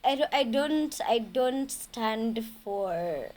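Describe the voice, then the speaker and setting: Animated young Kenyan woman, slightly high. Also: takes it slowly. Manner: code-switching, animated. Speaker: podcast co-host. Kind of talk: casual chat.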